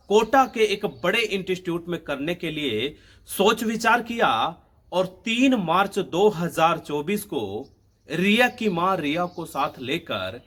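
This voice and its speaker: A man's voice: high voice, a bit bright. A man telling a true-crime story as a host, in a dramatic narration style with emphatic phrasing, addressing listeners directly.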